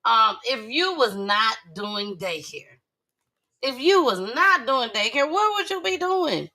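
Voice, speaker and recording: Bright-voiced woman hosting a casual chat. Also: a little high, big pitch swings, takes it slowly. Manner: emphatic, draws out repeated words. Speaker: talk show host, entrepreneur. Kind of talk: casual chatty interviewing.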